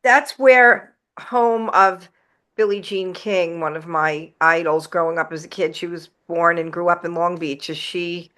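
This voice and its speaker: A woman with a bright, forward voice hosts an interview in an upbeat, chatty way. She sounds enthusiastic, laughs easily, and asks her questions casually. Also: on the low side, steady tone, a little slow. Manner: warm-hearted, enthusiastic, laughs often. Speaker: podcast host.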